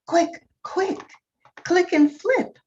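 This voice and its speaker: A woman's voice: very high-pitched, animated, extremely slow, a little mellow, muffled recording, a little hiss or hum, voice pushed up, full character voice. Woman as a wise old beetle, exclaiming encouragement. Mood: urgent. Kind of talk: children's storybook character voice.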